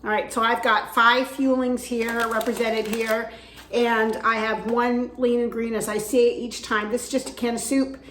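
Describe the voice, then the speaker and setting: A woman's voice: a little high, flat delivery, normal speed, slight background noise. Woman with a weak post-flu voice. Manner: warm, chatty, with frequent fillers. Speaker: weight-loss vlogger. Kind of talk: casual instructional vlog. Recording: echoey room.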